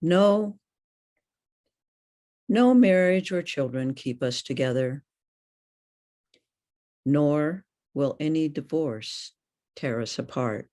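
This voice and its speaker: An older woman reading poetry aloud in a deliberate, questioning manner. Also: sits low, lively intonation, mellow. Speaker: poet.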